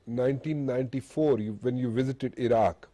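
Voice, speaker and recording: A man interviewing someone by telephone, putting questions in halting, hesitant phrasing. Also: mid-range voice, mellow, no room sound.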